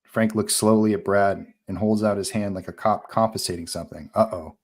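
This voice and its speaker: A man's voice: on the low side, fairly even intonation, a little fast. A man reading scene directions as the narrator of a live script table read.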